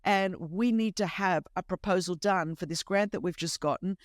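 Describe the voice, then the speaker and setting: A woman in her fifties with an Australian accent whose first language is Greek, telling a lively, humorous personal story as a podcast host. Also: lowish voice, moderate pace, no echo, studio quality. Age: 50s. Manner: animated, emphatic, humorous storyteller. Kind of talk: conversational testimony storytelling.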